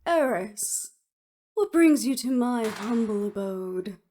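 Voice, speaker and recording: A woman's voice: fairly high pitch, expressive, slow, dark tone, a little hiss or hum. Woman playing a teasing, sardonic estranged friend. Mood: mocking, amused. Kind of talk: audio roleplay acting.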